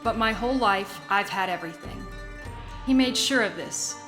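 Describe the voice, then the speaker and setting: A woman's voice: medium pitch, moderate pace, lightly acted. A woman acting in a radio play as a sheltered, questioning young daughter of a crime boss. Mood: brooding, reflective. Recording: music bed under the voice. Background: prominent music.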